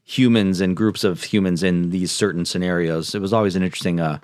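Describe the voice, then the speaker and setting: Low-pitched man, casual podcast host. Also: fairly even intonation, fairly quick, darkish tone, no echo, studio quality. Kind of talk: casual chat mixed with reading notes.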